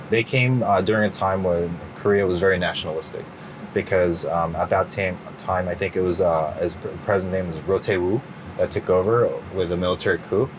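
A middle-aged man answering interview questions in a relaxed, rambling way, full of fillers and restarts. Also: deep voice, background noise. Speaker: interview guest. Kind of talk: casual interview.

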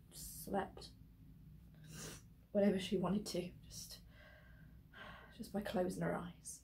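A despairing grown daughter played by a woman speaks in a stage monologue, slowly and haltingly. Her voice is mellow and subdued as she explains herself to her mother. There is some noise behind her in the recording. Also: mid-range voice, creaky. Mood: wistful, tender. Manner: hesitant, halting, pausing between phrases.

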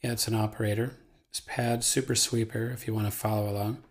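A man giving a casual software tutorial as the trainer, talking in a folksy, playful way. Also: lowish voice, flat delivery, brisk.

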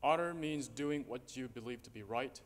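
Brief: teen male cadet from Hong Kong, quiet formal delivery, long reverb, mic far off. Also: mid-range voice, moderate pace. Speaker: military academy cadet. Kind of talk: formal memorized speech. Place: dark auditorium stage. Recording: echoey hall, distant mic.

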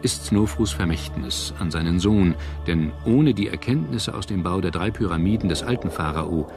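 A man reading scripted documentary narration in a measured, formal manner. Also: sits low, lively intonation. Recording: music bed under narration. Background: background music.

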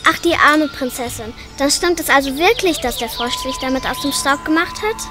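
A woman acting in a children's radio play in a lively, eager way, with music behind her. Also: sits high, normal speed. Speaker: amateur radio play actor.

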